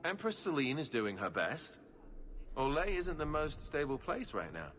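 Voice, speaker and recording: A man's voice: a little high, big pitch swings, normal speed, fairly bright tone, in a large hall. An actor in a video game playing a good-humoured, weary but hopeful king with a dry, casual, joking manner. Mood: dry, concerned.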